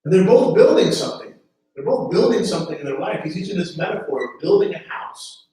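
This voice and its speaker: A man's voice: high voice, animated, a little fast, dark tone. A man preaching a sermon as pastor, earnest, often repeating words as he starts a phrase. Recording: very echoey room from a distance.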